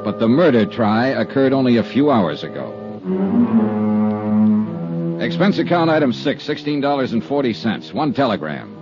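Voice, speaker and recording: An American actor playing a tough insurance investigator who narrates his own case in a 1950s radio detective drama. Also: medium pitch. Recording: muffled old radio broadcast, music behind.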